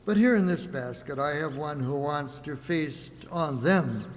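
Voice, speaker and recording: A man's voice: fairly high pitch, expressive, measured pace. A man playing a jovial merchant sea captain and doting father in a children's radio play, exclamatory and genial. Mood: genial, confident. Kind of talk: old-time children's radio play acting. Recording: reverberant hall with clear background noise.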